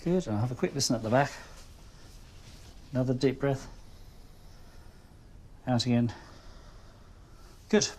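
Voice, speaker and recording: A man with an Australian accent working as a family doctor, talking a patient through a consultation in a calm, reassuring way and often checking "okay?". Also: mid-range voice, a little slow, long pauses, a little hiss or hum. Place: GP consulting room.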